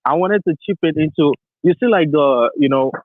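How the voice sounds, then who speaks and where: A man's voice: a little high, normal speed, no room sound. Man, thirties, from Nigeria, caller, tinny dry phone sound, rambling. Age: 30s. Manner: rambling, many restarts, laughs often. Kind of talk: phone call to a talk show.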